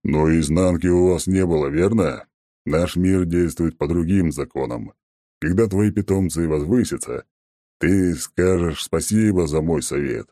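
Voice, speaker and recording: A conceited oak-tree deity, put on by a man reading an audiobook, speaking in a very deep, dark drawl. He sounds slow and mocking, always lording it over the listener. The recording is dry and close. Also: no echo. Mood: lecturing. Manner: haughty, mocking, slow and drawn-out. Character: smug arrogant male oak-tree god. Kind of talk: audiobook character voice.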